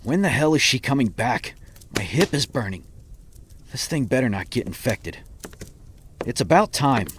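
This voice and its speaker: A man's voice: mid-range voice, sing-song, normal speed, long pauses, a little hiss or hum, close to an everyday voice. A man playing a glib, cornered villain held captive in a horror audio drama, nervous and stammering. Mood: irritated. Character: cornered captive man, a flippant excuse-making killer.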